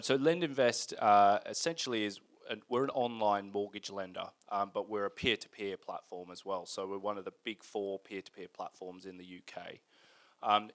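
A middle-aged man speaking as a guest in an on-stage interview, phrasing things in a measured, hesitant way with asides, into a handheld microphone. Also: fairly low pitch, fairly bright tone. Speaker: guest, company founder. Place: indoor event stage in front of a screen.

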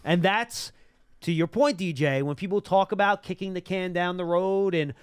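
High-pitched, clear-voiced man hosting sports talk. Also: moderate pace, no room sound, studio quality. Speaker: sports talk show host. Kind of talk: live sports talk and analysis. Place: small indoor broadcast studio. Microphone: close broadcast mic on boom arm.

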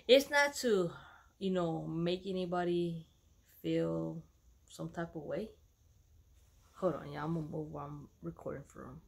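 A woman's voice: on the low side, vocal fry. A woman in her thirties from Dallas, Texas, chatting casually at home to her followers in a laid-back, slangy, rambling way. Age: 30s. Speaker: vlogger. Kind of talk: casual vlog chat to followers.

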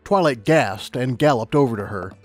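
A man's voice: medium pitch, lively intonation, normal speed, faint music behind. A man reading scripted audiobook narration as the narrator of a story.

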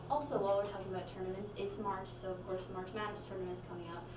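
A woman's voice: medium pitch, flat delivery, racing. A young woman hosting a student postgame basketball recap, upbeat, handing questions to her co-host. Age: young adult. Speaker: student sports show host. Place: small studio with stadium backdrop. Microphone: handheld mic. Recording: echoey room, mic far, loud background hum.

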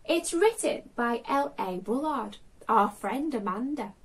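Unhurried woman telling stories to children. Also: on the high side, breathy edge. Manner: expressive, sing-song, addressing children directly. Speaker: children's storytime host. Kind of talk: storytelling for young children. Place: small furnished room at home, indoors.

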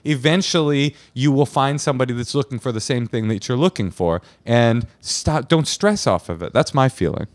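A man's voice: mid-range voice, lively intonation, normal speed, few pauses, dry recording, studio quality. Man giving rambling advice on handheld mic. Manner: rambling, jokey, quotes sayings. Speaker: podcast co-host. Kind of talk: casual advice chat. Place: living room studio with patterned wallpaper. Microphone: handheld mic, headphones.

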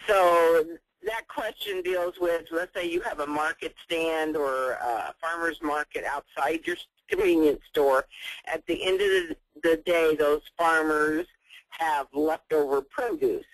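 A man's voice: fairly high pitch, measured pace, dry recording, rough recording. A man moderating a webinar, reading out audience questions and adding brief acknowledgments.

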